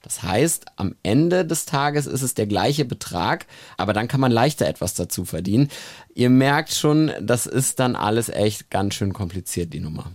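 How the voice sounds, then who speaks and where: A man's voice: lowish voice, lively intonation, normal speed, a little mellow, no room sound. A man co-hosting a podcast, going through figures and tips in a matter-of-fact way. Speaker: podcast co-host. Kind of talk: casual podcast chat.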